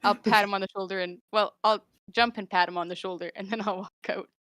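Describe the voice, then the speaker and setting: Smooth-voiced, tentative woman in a roleplay. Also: mid-range voice, steady tone, fast talker, fairly bright tone. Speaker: roleplay player. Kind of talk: casual improvised roleplay.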